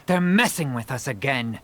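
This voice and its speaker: A man's voice: mid-range voice, animated, measured pace, a little hiss or hum, lightly acted. A man voicing a cynical, fiery, sarcastic young supporter in an anime-style game. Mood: exasperated.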